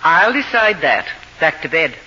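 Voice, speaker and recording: Firm, bright-voiced radio mother. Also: low voice, sing-song, a little slow, breathy edge, full character voice. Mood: stern. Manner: firm, matter-of-fact, no-nonsense. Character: firm, sensible middle-aged mother of the household. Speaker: radio drama actor. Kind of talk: 1940s radio acting. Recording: dull, faintly hissy old radio recording.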